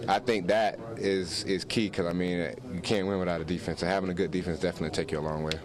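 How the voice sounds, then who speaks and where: A man's voice: low voice, fairly even intonation, fast. A young man, a college football player, speaking casually in a post-game interview. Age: young adult. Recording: crowd chatter around interview.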